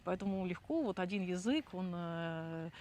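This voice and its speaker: A woman's voice: fairly low pitch, expressive, normal speed, darkish tone, slight background noise. A middle-aged woman co-hosting a casual vlog, chatty and talking straight to her viewers. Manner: chatty, addresses viewers directly. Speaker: channel co-host.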